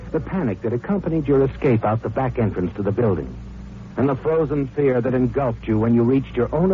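A man's voice: medium pitch, a little hiss or hum, lightly acted. Ominous radio mystery narrator voiced by a man. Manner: ominous, knowing, deliberate. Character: knowing, ominous male narrator, insinuating and accusing. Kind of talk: dramatic old-time radio narration in second person. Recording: tinny old radio recording with music. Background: music.

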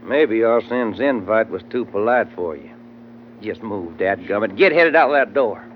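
A man's voice: lowish voice, animated, unhurried. An actor playing a folksy, bossy henchman with an American accent in an old-time radio adventure. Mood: gruff, bossy. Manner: folksy rustic phrasing. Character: folksy, drawling male henchman gunman, gruffly bossy. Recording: dull, noisy vintage radio recording.

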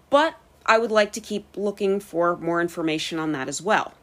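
A woman with an American accent hosting a podcast, reading scripted narration in careful, clear phrases. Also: on the low side. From United States. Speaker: podcast host and storyteller.

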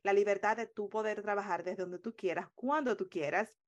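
A woman's voice: mid-range voice, moderate pace. A woman with a Dominican accent speaking as a coach and interview guest, explaining things in an earnest, emphatic, conversational way. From Dominican Republic.

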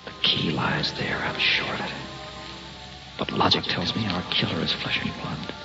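A man's voice: low voice, moderate pace, vocal fry. An actor playing a determined, brooding investigator and family man in an old-time radio drama, talking in terse, clipped phrases. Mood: weary, musing. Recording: muffled, roomy old radio recording with music.

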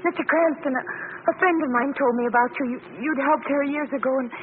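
Woman acting high-voiced, anxious young radio heroine, tinny. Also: fast talker, close to an everyday voice. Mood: distraught, pleading. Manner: hesitant, stammering, polite. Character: timid, anxious young female secretary, desperate and troubled. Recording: noisy, narrow-band old radio recording.

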